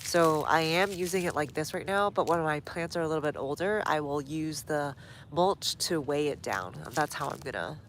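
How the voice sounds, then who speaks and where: A woman's voice: deep voice, lively intonation, moderate pace, a little hiss or hum. Chatty, stuffy-nosed woman gardening outdoors. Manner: chatty, reads viewer comments aloud while working. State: stuffy nose. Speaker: gardening livestream host. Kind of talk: casual livestream chat while gardening.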